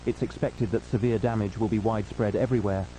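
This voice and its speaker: Male emergency broadcast announcer, relaxed dark tone, solemn and formal scripted read, slightly noisy recording with hum. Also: on the low side, quick pace.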